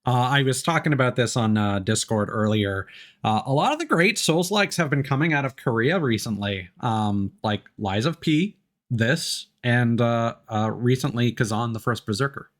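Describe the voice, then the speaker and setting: A man's voice: mid-range voice, expressive, moderate pace. A man doing casual, rambling let's play commentary as a game streamer, chatty and easygoing.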